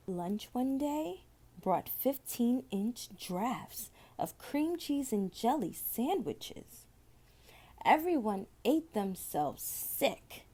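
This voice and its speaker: A woman reading a children's story aloud, with deliberate, expressive phrasing and drawn-out words. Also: fairly high pitch. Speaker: storybook reader.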